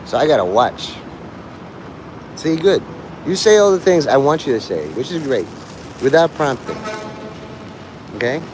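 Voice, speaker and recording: A man's voice: medium pitch, very animated, measured pace, long pauses. A man giving a casual, rambling monologue to listeners, digressive and blunt, with profanity. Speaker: live streamer. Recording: clear outdoor traffic and vehicle noise.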